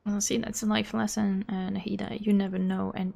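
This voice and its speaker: A woman's voice: mid-range voice, flat delivery, brisk, creaky, dark tone. A woman livestreamer giving casual commentary on a game, rambling informally as she thinks aloud. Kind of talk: casual commentary over gameplay.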